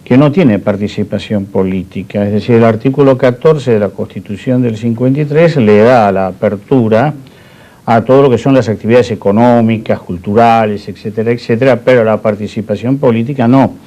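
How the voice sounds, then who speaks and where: An older man from Spain, discussing history as an interview guest in a deliberate, discursive, formal way. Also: mid-range voice, warm tone. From born in Madrid, Spain; settled in Argentina.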